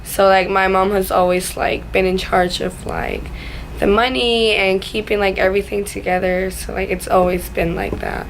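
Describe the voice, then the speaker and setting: A woman's voice: mid-range voice, flat delivery, moderate pace. A young woman from Ecuador talking casually about herself in an informal interview, peppering her speech with "like". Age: young adult. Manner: filler-heavy, frequent "like". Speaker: student interviewee. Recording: clearly noisy room recording.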